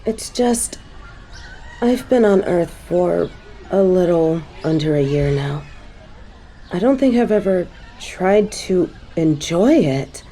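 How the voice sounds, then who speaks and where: Woman doing a prim flustered angel lil sis, mellow voice, takes her time, hesitant, audio roleplay. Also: fairly low pitch, animated, slow, slight background noise, low-quality recording. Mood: softened, hesitant. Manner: hesitant, prim, easily embarrassed. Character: prim, uptight angel little sister, easily flustered. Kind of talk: audio roleplay drama acting.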